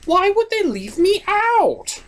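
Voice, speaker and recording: A man's voice: very high-pitched, sing-song, slow, voice pushed up, exaggerated voice. A man voicing a vain, needy trickster spirit in a pompous, wheedling way for a puppet-show skit. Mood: wounded vanity.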